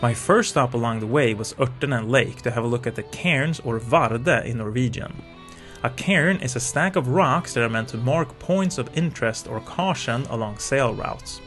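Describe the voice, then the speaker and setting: A middle-aged man from Sweden narrating his motorcycle travel vlog in a calm, measured voice-over. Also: medium pitch. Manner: calm, informative, measured delivery. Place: voice-over, not recorded on the road. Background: faint music.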